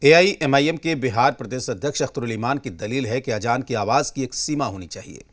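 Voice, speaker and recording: An Indian man presents scripted news as an anchor. His voice is of medium pitch. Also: a little fast.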